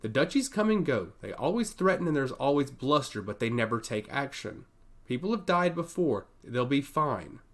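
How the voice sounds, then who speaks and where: A man's voice: mid-range voice, sing-song, moderate pace. Man voicing a blunt, wry noble brother. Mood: dismissive. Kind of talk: fantasy audiobook character voice.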